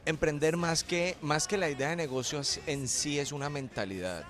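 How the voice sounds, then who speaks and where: A man's voice: fairly high pitch, normal speed, fairly bright tone, soft background music. A middle-aged man from Colombia with a Colombian accent, a guest in a radio interview, explaining his ideas with sayings and metaphors. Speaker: entrepreneur guest.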